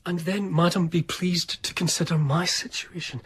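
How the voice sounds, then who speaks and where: An actor playing an eager, ingratiating gentleman, courteous and wordy, in a period radio drama. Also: sits high, fairly even intonation, normal speed, slightly rough. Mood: pleading. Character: eager, ingratiating Scottish gentleman, self-satisfied narrator.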